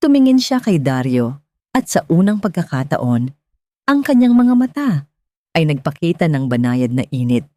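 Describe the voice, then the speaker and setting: Mellow, low-voiced Filipino woman narrating drama. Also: sing-song, moderate pace, no echo. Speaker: narrator of a drama story podcast. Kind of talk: scripted dramatic story narration.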